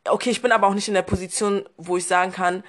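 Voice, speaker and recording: A woman's voice: mid-range voice, fairly even intonation, fast. A woman in her early twenties with a Berlin accent, a podcast guest talking thoughtfully with hesitations and fillers. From Germany. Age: 20s. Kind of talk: casual conversation.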